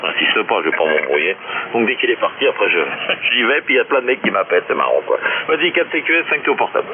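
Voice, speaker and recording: A man's voice: lowish voice, sing-song, fast. A man chatting casually with friends as an amateur radio operator, jokey, rambling and slangy, over a narrow, noisy shortwave transmission.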